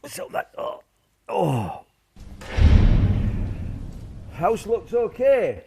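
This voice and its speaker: Man as plucky British wartime dad, swooping pitch. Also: wildly swooping pitch, extremely slow, dark tone, slight background noise, full character voice. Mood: brisk, urgent. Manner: matey, reassuring, plucky. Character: stoical, caring British father in a wartime air raid. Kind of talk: radio play acting.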